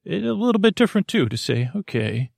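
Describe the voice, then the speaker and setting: A man hosting a bedtime podcast in a creaky, soothing voice, meandering and halting with stammers and self-deprecating asides. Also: sits high, big pitch swings, a little mellow, no room sound, clean studio sound. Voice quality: creaky, gravelly. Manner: soothing, lulling. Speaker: podcast host. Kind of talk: rambling bedtime sleep podcast monologue.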